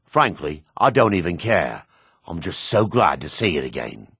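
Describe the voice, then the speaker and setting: A man voicing a gruff, wary male dark elf townsman in a fantasy video game, with a low, husky voice. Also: big pitch swings, moderate pace, no echo, studio quality, exaggerated voice. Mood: excited.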